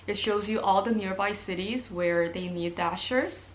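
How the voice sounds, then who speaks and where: A woman's voice: mid-range voice, a little slow, low-quality recording. A young woman from Korea, chatting casually to camera as a nursing student vlogger, with plenty of ums and likes. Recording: faint background noise.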